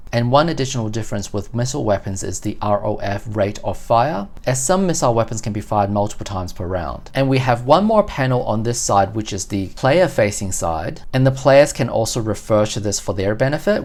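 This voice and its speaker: Unboxing channel host for tabletop game collectibles; methodical explainer, medium pitch. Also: darkish tone.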